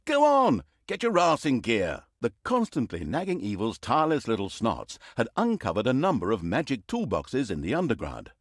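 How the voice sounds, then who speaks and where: Sardonic fantasy game narrator, super swoopy dramatic delivery, very dry close sound. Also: male voice, mid-range voice, wildly swooping pitch, moderate pace, few pauses, no echo. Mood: scolding, exasperated. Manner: theatrical, sardonic storytelling. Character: wry, mocking male storyteller of a dark fantasy comedy.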